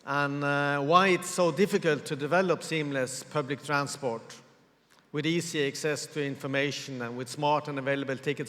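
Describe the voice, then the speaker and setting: A man's voice: a little high, a bit bright. Man in an echoing hall. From Norway. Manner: measured, formal, pausing often to find words. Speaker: conference speaker. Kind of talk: formal conference presentation. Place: large conference hall. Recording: reverberant hall with long echo.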